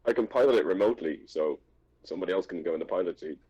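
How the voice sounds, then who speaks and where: A man's voice: deep voice, racing, dark tone, slightly rough. A man chatting casually as a tabletop game player over a lo-fi online connection.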